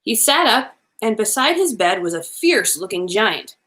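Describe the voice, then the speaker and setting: A woman reading a children's Christmas storybook aloud in an expressive, animated way, with playful asides. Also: medium pitch, normal speed.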